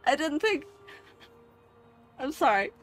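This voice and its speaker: A woman streamer reacting emotionally on a livestream, choked up, halting and grateful. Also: high voice, big pitch swings, soft background music. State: choked up, tearful.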